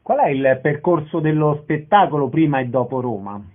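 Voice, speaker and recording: A man's voice: a little high, normal speed. A man working as a radio interviewer, putting short prepared questions to a guest. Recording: narrow phone-like radio sound.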